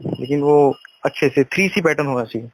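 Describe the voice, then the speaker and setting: A man's voice: a little high, a little fast. A man coaching informally over a phone call, emphatic and instructive, repeating key points. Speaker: trading coach.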